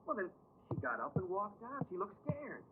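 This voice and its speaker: An actor playing a baffled, indignant uncle in a radio drama, speaking with exasperation and disbelief. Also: male voice, sits high, animated, fairly quick. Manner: exasperated, incredulous. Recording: tinny, noisy radio playback.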